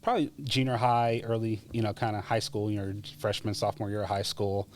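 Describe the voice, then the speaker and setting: A man, a former EMT, telling his life story in a relaxed, chatty way as a podcast guest, with frequent fillers and laughs. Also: on the low side, brisk, slight background noise. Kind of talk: casual interview storytelling.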